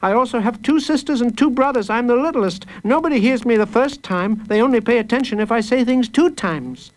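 Storybook little boy, played by a male narrator, polite and earnest, voice lifted very high. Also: brisk. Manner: respectful, earnest, repeats himself. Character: small earnest six-year-old boy, polite and repetitive.

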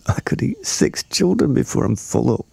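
A man voicing an enormous greedy crocodile in a storybook, scheming and muttering to himself with slow relish. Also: medium pitch, sing-song, creaky, dark tone, breathy edge, no echo, pitched above the performer's own voice, full character voice. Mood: hungry, grumbling.

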